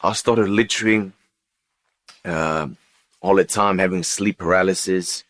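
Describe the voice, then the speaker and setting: A man who speaks as a tells his personal story as an interview guest, in a halting, unscripted way with repeated words and phrases. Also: deep voice, fairly bright tone. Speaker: interview guest giving testimony.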